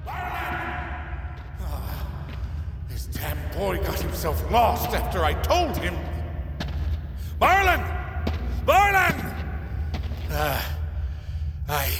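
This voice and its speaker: Gruff old fellow with lively swings. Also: male voice, high-pitched, very slow, long pauses, full character voice. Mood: worried calling. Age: older. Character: gruff, kindly old goat-folk workmate, watchful and fussing. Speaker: actor in an audio drama. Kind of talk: fantasy audio drama acting. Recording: loud music behind the voice. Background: dramatic music.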